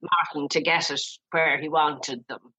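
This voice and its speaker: A woman's voice: sits low, fairly even intonation, unhurried, bright, forceful tone, husky, low-quality recording. An Irish-accented woman chatting casually as an interview guest, in a chatty, rambling manner. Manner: chatty, peppered with "you know". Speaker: guest, playwright.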